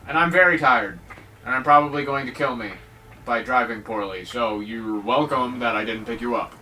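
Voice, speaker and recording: A man's voice: mid-range voice, normal speed, bright, forceful tone, distant mic, a little hiss or hum, rough recording. A man giving jokey, rambling let's-play commentary on a game, with mock-dramatic outbursts. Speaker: gaming video host.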